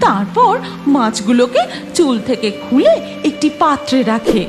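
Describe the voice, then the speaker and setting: A woman's voice: high voice, big pitch swings, a little slow, over music. A woman narrating a scripted cartoon story.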